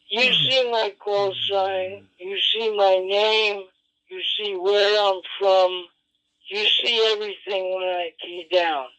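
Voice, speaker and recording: A man's voice: sits high, a bit bright. A man arguing as an amateur radio operator, insistent and combative, repeating himself and swearing over a lo-fi digital radio link. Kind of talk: radio argument. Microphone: radio transceiver microphone. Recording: dull lo-fi digital ham radio transmission.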